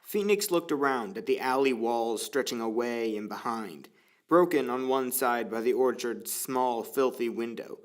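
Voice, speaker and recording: A man's voice: medium pitch, unhurried. A man reading scripted story narration for an audio drama. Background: faint music.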